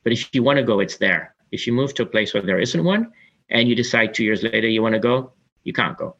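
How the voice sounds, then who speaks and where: A man's voice: on the low side, brisk, fairly bright tone, dull audio. A man in his forties from Kenya, answering interview questions in a reflective, chatty way with many fillers. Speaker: interview guest.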